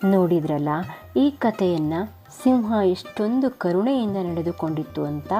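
A woman storyteller narrates a moral tale for children. Her delivery is very unhurried and her tone is dark and mellow. Music plays clearly behind her. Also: mid-range voice.

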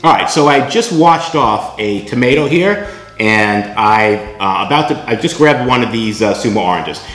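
A man's voice: lowish voice, lively intonation, normal speed, a bit bright, echoey room, soft background music, poor audio. A middle-aged man hosting a casual kitchen vlog, chatty and often tagging his lines with "right?" while he shows food to the camera. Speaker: vlog host. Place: home kitchen, indoors.

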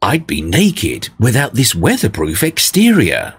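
A man voicing a gentle, wise old snail in a storybook, kindly and soothing. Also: on the low side, huge pitch swings, unhurried, slightly rough, full character voice.

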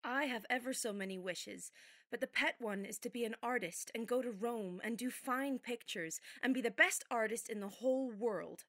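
An eager, girlish youngest sister, played by an actress in an audio drama. The voice is fairly high and sounds close and dry. She speaks with keen enthusiasm. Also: moderate pace, a bit bright, dry recording. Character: youngest sister, eager would-be artist girl. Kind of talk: theatrical audio drama acting.

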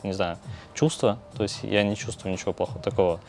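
A young man hosting a podcast, asking curious questions and talking calmly about himself, recorded on a lapel mic in a small studio. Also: fairly low pitch, fast, gravelly, soft background music. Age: young adult. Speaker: podcast host. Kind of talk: casual interview chat. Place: small studio with neon lights.

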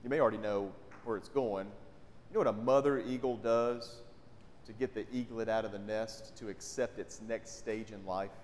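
A man's voice: lowish voice, steady tone, normal speed, a little mellow, slight background noise. A man, a pastor preaching a sermon in a conversational way and often asking the congregation questions. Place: large church sanctuary, indoors. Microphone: pulpit mic. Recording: long church hall reverb.

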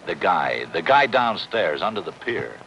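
An actor playing a cynical, world-weary, money-minded middle-aged deep-sea diver, wisecracking in a 1950s television crime drama. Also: male voice, very animated, unhurried, gravelly, fairly bright tone, lo-fi sound, a little hiss or hum, heavily acted. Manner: sardonic, wisecracking.

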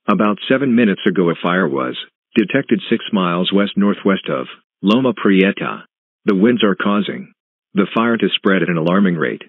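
Male emergency alert announcer, low and husky, flat synthetic read, clipped phrasing. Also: dry recording. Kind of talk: scripted emergency broadcast announcement. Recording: muffled, narrow phone-like broadcast sound.

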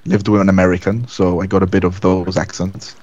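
Deep-voiced Gibraltarian man chatting over a game. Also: level tone, a little fast, darkish tone, dull audio. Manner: joking, explains game steps. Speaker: gaming video host. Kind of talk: casual gaming chat.

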